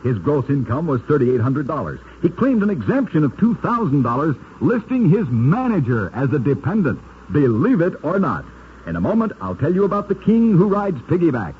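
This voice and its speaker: A man's voice: on the high side, wildly swooping pitch, normal speed. A man narrating scripted curiosities for an old-time radio show, with a dramatic, emphatic storyteller delivery. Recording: dull, noisy vintage radio recording.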